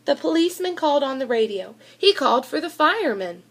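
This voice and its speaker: A woman reading a children's storybook aloud in an expressive narrating style. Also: high-pitched, quick pace.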